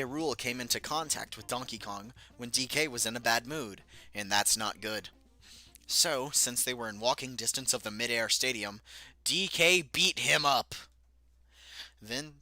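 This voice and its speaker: A man's voice: mid-range voice, pushed and bright, no echo. A man casually reading aloud a story he wrote as a child, with amused, self-mocking asides and music playing behind. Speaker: reader of his own childhood book.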